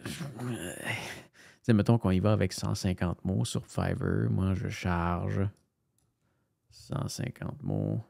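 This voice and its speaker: A man's voice: low voice, lively intonation, slow pace, creaky, a little mellow, no room sound, studio quality. A man with a Canadian French accent, a voice-over narrator, chatting casually and rambling with many fillers and restarts as he thinks aloud. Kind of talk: casual screen-share commentary.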